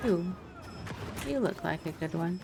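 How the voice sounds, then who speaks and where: A woman's voice: on the low side, lively intonation, measured pace, gravelly. A woman doing casual live gameplay commentary, muttering wry asides as she plays. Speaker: game streamer. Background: game music.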